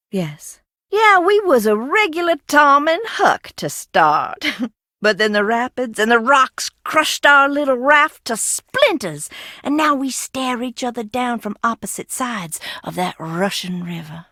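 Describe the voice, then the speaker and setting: Creepy eccentric bitter woman from a video game, giggly but menacing, slow and super swoopy, dry close sound. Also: mid-range voice, sharp tone, dry recording. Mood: sad, withdrawn. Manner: theatrical, mercurial, giggly, menacing. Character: eccentric, bitter, unhinged younger sister living hidden in a crypt. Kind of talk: video game character acting.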